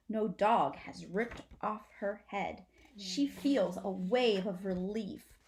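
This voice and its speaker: A woman's voice: medium pitch, a little mellow. A woman, a teacher, narrates a children's story aloud for her students.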